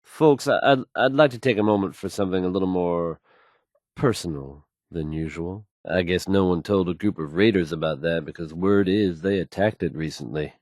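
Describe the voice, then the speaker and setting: Casting: male actor for smooth wasteland radio host, lower register, dry sound, confident wry patter between songs. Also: normal speed, darkish tone, no room sound, natural voice. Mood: wry, upbeat. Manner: folksy, wry, addresses listeners as folks.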